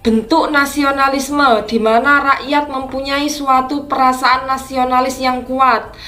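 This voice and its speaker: A woman teacher going through practice exam questions, explaining each answer slowly and deliberately. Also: sits high, flat delivery, sharp tone, soft background music.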